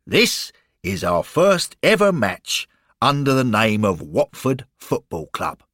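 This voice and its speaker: A man voicing a cheery, keen guide to club history in a story, brisk, enthusiastic and informative. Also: medium pitch, huge pitch swings, fairly bright tone, no room sound, clean studio sound, full character voice. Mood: proud, encouraging.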